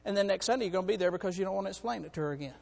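A hoarse man on church staff preaching a sermon to his congregation, in a folksy way that calls out to them. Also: high-pitched, very fast, darkish tone, in a large hall. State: hoarse, has lost part of his voice. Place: church sanctuary.

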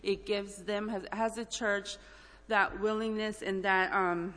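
A woman from El Salvador shares an informal testimony in church. Her medium-pitched voice is halting, earnest and full of feeling. It rings through a hall with long reverb. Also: fairly even intonation, fairly bright tone. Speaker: church mission trip member giving a testimony. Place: large indoor church hall, speaking up front.

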